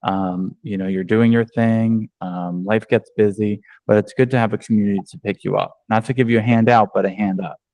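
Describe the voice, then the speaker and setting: Male agent-trainer from New York, lowish voice, chatty with fillers, dry video-call audio. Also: fairly even intonation, a little fast, few pauses, a little mellow, no echo, poor audio. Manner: conversational, many ums and restarts. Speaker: real estate agent and trainer. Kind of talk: online training talk.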